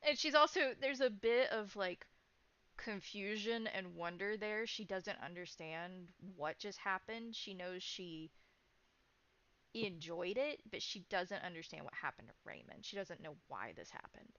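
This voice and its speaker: A woman's voice: mid-range voice, animated, unhurried, a bit bright, lo-fi sound. A woman narrating and making rulings as game master of a tabletop vampire game, in a conversational, descriptive and decisive way.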